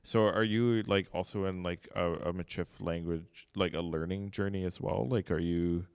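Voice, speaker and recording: A man interviewing an artist in a relaxed way, asking curious questions with lots of filler words. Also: deep voice, normal speed, clean tone, no echo, clean studio sound. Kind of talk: casual interview talk.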